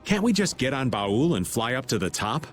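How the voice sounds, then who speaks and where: An actor voicing a laid-back, wry middle-aged adventurer in a video game, drawling and folksy. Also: male voice, mid-range voice, very animated, normal speed, faint music behind, lightly acted. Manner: drawling, folksy, theatrically grumbling.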